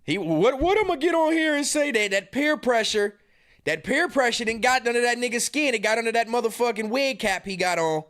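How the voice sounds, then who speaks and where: High-voiced man in his thirties, mocking. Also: expressive, fairly quick, a bit bright. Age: 30s. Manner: profane, mocking, confrontational. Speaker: podcast host. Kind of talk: heated commentary reacting to a stream clip.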